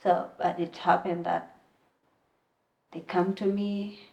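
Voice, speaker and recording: A woman in her twenties from Kenya, speaking English as a second language, telling her personal story as a podcast guest in a soft, halting voice. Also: lowish voice, level tone, gravelly, low-quality recording. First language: a language other than English. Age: 20s. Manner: soft-spoken, halting, plain wording. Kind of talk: personal testimony in conversation.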